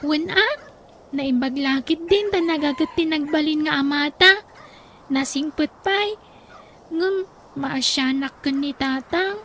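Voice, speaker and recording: An actress with an Ilocano accent playing a caring, dutiful young elder sister in a radio drama. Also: female voice, high-pitched, takes it slowly, pauses often, fairly bright tone, a little hiss or hum, full character voice. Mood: gentle, consoling.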